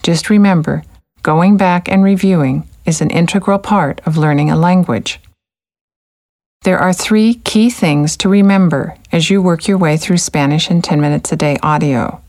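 A woman reading scripted narration for a language course in a calm, encouraging, measured way. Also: fairly low pitch.

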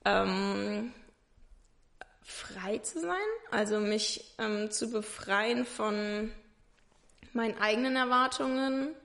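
A woman's voice: medium pitch, steady tone, takes it slowly, creaky, a bit bright. A woman in her twenties talking about her own life in an interview, hesitant and searching for words, with many fillers. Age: 20s. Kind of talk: personal conversation.

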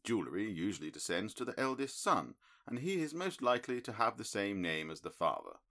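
A man reading an audiobook, voicing a calm, analytical middle-aged male detective who explains his reasoning in a crisp, precise, lecturing manner. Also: lowish voice, a little fast, pitched above the performer's own voice, heavily acted.

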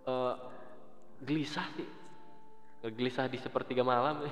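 A man's voice: medium pitch, fairly even intonation, a little slow. Young man musing in an echoey room. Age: 20s. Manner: candid, self-doubting, rambling with fillers. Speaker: podcast host. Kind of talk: casual podcast chat. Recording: echoey, dull lo-fi podcast with music bed.

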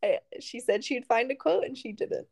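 A woman's voice: fairly high pitch, moderate pace, vocal fry, a little mellow. A young woman co-hosting a casual chat podcast, teasing and laughing often. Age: young adult. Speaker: podcast co-host.

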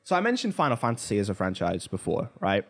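A man's voice: fairly low pitch, huge pitch swings, quick pace, a bit bright. Man chatting as a podcast host. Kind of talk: casual pop culture discussion.

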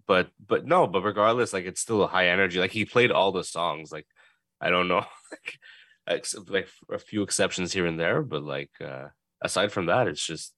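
A man's voice: deep voice, moderate pace, pushed and bright, dry recording. A man from Canada co-hosting a podcast, chatting casually in a laid-back, hesitant way. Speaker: podcast co-host. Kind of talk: casual chat.

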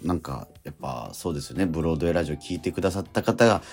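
Male radio co-host, very deep register, animated intonation, casual halting chat with frequent interjections. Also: faint music behind.

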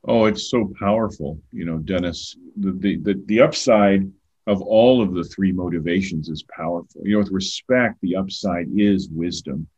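Older man, husky, lowish voice, earnest, restarts phrases, lo-fi dull recording. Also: normal speed. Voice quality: loud voice. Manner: earnest, repeats words when starting phrases. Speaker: guest. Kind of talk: conversational podcast talk. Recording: dull lo-fi sound.

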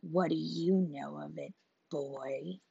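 A woman voicing a cold, fervent male assassin who talks in a low, menacing hiss. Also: takes it slowly, gravelly, mellow, husky, no echo, highs cut off, heavily acted.

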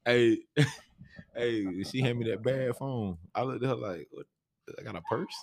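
A man's voice: medium pitch, measured pace, gravelly. An American man telling anecdotes casually in a group chat, acting out what people said as he goes. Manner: animated anecdotes, quoting others' dialogue. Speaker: stage play cast member. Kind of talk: casual storytelling in a group livestream.

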